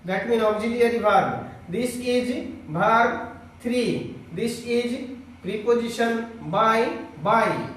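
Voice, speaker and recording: A man teaching an online grammar lesson in a halting, instructional way, explaining step by step to his students. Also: extremely high pitch, a bit bright. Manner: halting, instructional, with repeated check questions. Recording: very echoey room, distant mic.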